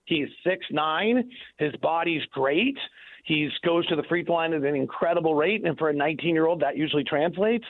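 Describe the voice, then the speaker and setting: A man's voice: fairly high pitch, lively intonation, normal speed. A man giving conversational sports analysis on the radio as a guest, emphatic and argumentative, repeating himself for stress. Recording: tinny phone-like line.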